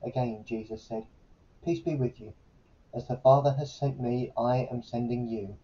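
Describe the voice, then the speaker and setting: Man reading the bible passage, deep mellow tone, kinda dull lo-fi sound. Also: moderate pace. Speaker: trainee vicar reading scripture. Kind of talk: scripture reading.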